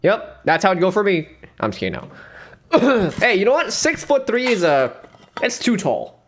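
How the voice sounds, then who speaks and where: A man's voice: high-pitched, wildly swooping pitch, normal speed, a little hiss or hum. A man streaming, giving rambling, playful reaction commentary on a dating show and laughing often.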